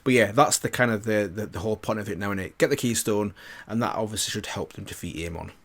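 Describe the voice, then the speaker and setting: Low-pitched British man, casual commentary. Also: fast, fairly bright tone. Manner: tentative, thinking aloud. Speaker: reaction video host. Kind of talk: casual commentary reacting to game cutscenes.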